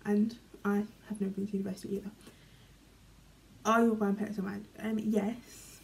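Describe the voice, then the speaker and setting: Young British woman for a casual bedroom vlog, warm and mellow, rambling, trailing off softly, faint room hum. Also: mid-range voice, level tone, normal speed, gravelly, low-quality recording. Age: young adult. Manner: chatty, filler-heavy, often trails off quietly. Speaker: vlogger. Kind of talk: casual chat, reading questions aloud. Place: small bedroom, indoors. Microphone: camera in front, medium framing.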